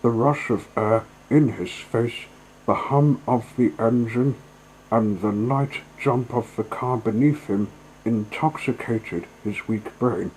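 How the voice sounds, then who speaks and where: A man's voice: mid-range voice, slow pace, a little mellow, slight background noise, poor audio. A man narrating a storybook as a storyteller, reading from a scripted text.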